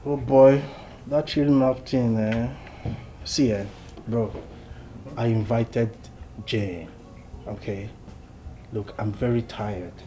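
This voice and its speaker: A man's voice: mid-range voice, fairly even intonation, takes it slowly, warm tone, a little hiss or hum, lightly acted. A man with a Nigerian accent playing a prince's candid young friend in a naturalistic film drama. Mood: excitable. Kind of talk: naturalistic Nollywood film acting.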